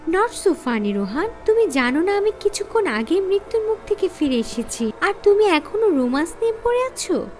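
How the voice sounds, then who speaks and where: Very high-pitched frightened young woman. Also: big pitch swings, normal speed, darkish tone, soft background music. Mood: annoyed. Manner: pleading, dramatic, distressed. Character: frightened young pregnant woman stranded in a haunted forest. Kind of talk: animated horror story voice acting.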